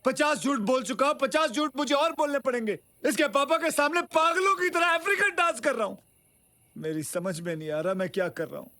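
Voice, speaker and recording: A man's voice: on the high side, steady tone, fast talker, vocal fry, few pauses. An actor playing a flustered, self-pitying son-in-law in a comedy film, hesitant with his excuses and prone to frantic rants. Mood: exasperated. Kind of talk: broad Hindi comedy film acting.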